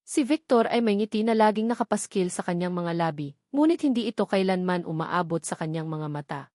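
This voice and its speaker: A woman's voice: on the low side, animated, brisk, dark tone, no echo, studio quality. A woman narrator reading a scripted romantic drama in storytelling style.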